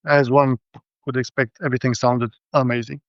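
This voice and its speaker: Creaky-voiced Romanian man, halting, dull audio. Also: mid-range voice, flat delivery, no echo. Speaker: guest, hi-fi show visitor. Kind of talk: casual technical show rundown.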